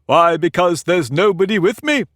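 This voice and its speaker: A man's voice: sits high, very animated, a little slow, a bit bright, no room sound, studio quality, voice pushed up, heavily acted. A man voicing a pompous, touchy talking egg in a storybook reading, boastful and argumentative. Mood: haughty. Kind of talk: audiobook character reading.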